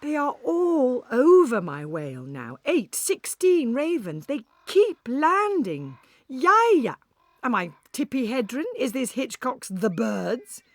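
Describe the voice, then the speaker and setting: An actress playing a weary, wry woman cafe owner, telling her story in an audiobook with an expressive, breathy, conversational delivery. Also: high-pitched, takes it slowly, no room sound, full character voice. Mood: exasperated. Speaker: audiobook narrator. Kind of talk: first-person dramatic audiobook narration.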